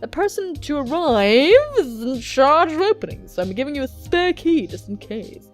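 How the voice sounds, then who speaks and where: A woman's voice: sits high, expressive, normal speed, faint music behind, voice pushed up. Woman doing a stern, clipped male boss. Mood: brisk, commanding. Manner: clipped, commanding.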